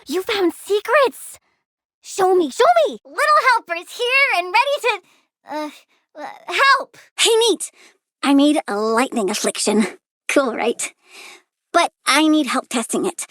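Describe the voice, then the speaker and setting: High-pitched childlike villain plant girl. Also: sing-song, a little slow, a bit bright, no room sound, studio quality, exaggerated voice. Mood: playful, curious. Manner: childlike, cutesy, blunt, bratty. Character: childlike reborn plant-girl villain, playful, curious, cheerfully menacing. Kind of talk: video game voice lines.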